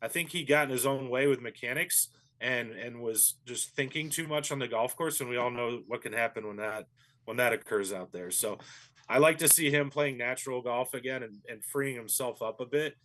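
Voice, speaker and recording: A man's voice: medium pitch, moderate pace, fairly bright tone. A man, a golf professional, giving easygoing and thoughtful analysis as an interview guest. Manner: easygoing and thoughtful, with frequent fillers.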